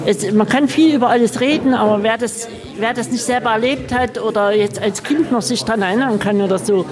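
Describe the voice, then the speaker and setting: A middle-aged or older woman with a fairly high voice talks casually about personal memories as an audience member. People murmur clearly behind her. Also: fairly quick, crowd noise.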